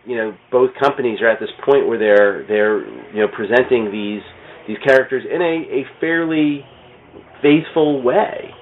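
A man's voice: medium pitch, normal speed, slight background noise. A middle-aged man chatting casually about comics as a podcast guest, rambling with plenty of "you know". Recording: thin phone-like radio sound.

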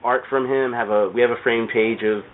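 A man's voice: mid-range voice, steady tone, fairly quick. A man chatting as a podcast guest, telling stories in a rambling, enthusiastic way and repeating words. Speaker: podcast guest, comic shop owner. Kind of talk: casual storytelling chat. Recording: tinny phone-like sound, clear background noise.

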